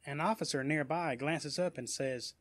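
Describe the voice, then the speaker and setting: A man's voice: on the high side, normal speed, a bit bright, no room sound. A man reading scripted narration for a superhero audiobook story. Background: music.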